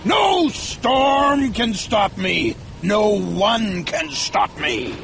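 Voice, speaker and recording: A fierce, obsessed sea captain from a storybook, acted out by a man, bellows with defiance. The voice is strained far up in pitch, swings widely and stretches words out slowly, over background noise. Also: sing-song, gravelly, exaggerated voice. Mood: fierce, defiant. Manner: shouting, drawn-out declamatory delivery. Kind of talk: dramatized storybook narration.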